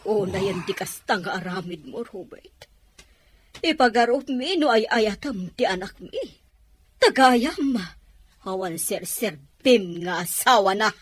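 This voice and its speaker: A woman's voice: medium pitch, expressive, measured pace, husky, no room sound. A woman playing an anguished, reproachful mother with an Ilocano accent in an audio drama, pleading dramatically.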